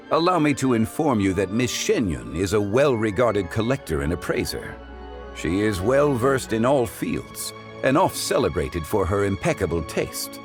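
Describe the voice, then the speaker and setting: An actor playing a calm, ancient male consultant who speaks in a formal, measured and courteous way, in a video game. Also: on the low side. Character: ancient, composed male consultant of refined taste.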